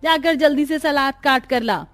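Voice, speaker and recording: Woman acting a high, domineering mother-in-law. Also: moderate pace, faint music behind, natural voice. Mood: commanding. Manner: scolding, commanding. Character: domineering, greedy middle-aged mother-in-law.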